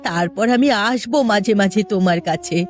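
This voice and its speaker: A woman's voice: mid-range voice, fairly quick, faint music behind, lightly acted. A woman voicing an anxious, upright middle-aged village mother-in-law in a cartoon, earnest and motherly. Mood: relieved, caring. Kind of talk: cartoon voice acting.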